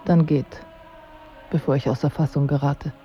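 An actress playing a languid, coolly ironic royal wife in a radio drama, with dry and formal diction. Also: female voice, low voice, steady tone, moderate pace, highs cut off, soft background music, lightly acted. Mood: wounded, reproachful. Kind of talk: literary radio drama acting.

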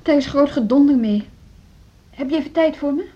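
A woman's voice: high-pitched, normal speed, lightly acted. An actress playing an earnest, tense woman who runs a music school, in naturalistic Dutch radio drama with a Netherlands accent. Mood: guarded, hesitant. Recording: dull, muffled radio play recording.

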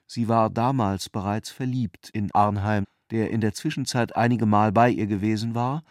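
Male narrator for literary radio drama: low register, measured, exact delivery, dry studio acoustic. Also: no echo.

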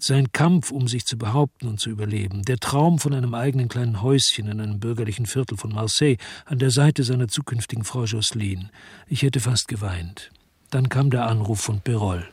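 An actor playing a weary, dogged French detective who narrates his noir radio crime story in a measured, laconic way. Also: medium pitch, darkish tone, breathy edge, dry recording, studio quality. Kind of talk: first-person narration in a noir radio drama.

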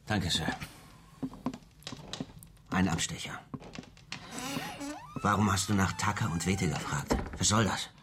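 An actor playing a laconic, knowing trail companion in a German western radio drama, speaking in terse, understated phrases. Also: male voice, low-pitched, takes it slowly, pauses often, noisy background. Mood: sceptical.

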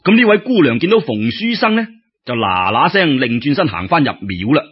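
A man telling a traditional story in Cantonese as a radio storyteller, colloquial and expressive, with exclamations and drawn-out particles. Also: medium pitch, slightly rough. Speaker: storyteller, radio show host. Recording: dull lo-fi radio-style recording.

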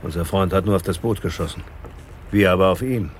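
A man's voice: deep voice, fairly quick, slight background noise, natural voice. An actor in a German radio crime drama playing a scrupulous policeman in a dry, understated manner. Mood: wry, reflective.

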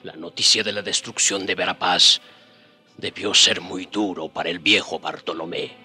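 A solemn survivor narrating a radio drama. His voice is deep and forceful, with music underneath. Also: fairly even intonation, moderate pace, husky, exaggerated voice. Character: solemn survivor recounting a town's destruction.

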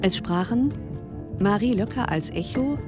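A woman's voice: medium pitch, animated, a little slow, loud music behind the voice. A woman reading scripted programme credits as a radio drama announcer.

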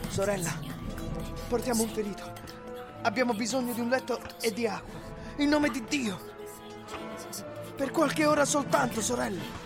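A man's voice: extremely high pitch, moderate pace, vocal fry, pauses often. A man acting a desperate rebel on the run in a radio drama, giving urgent commands. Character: desperate young rebel fleeing a massacre. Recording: music behind the voice.

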